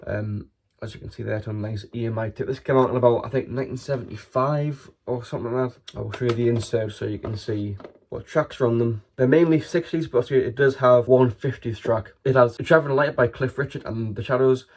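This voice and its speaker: A man's voice: mid-range voice, fairly quick, a little mellow. British man, casual show-and-tell. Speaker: video host showing a collection.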